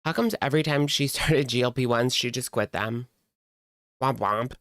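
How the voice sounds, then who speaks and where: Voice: mid-range voice, normal speed, fairly bright tone, no echo, clean studio sound. A reaction channel host talking casually in a blunt, mocking and sardonic way while commenting on a video.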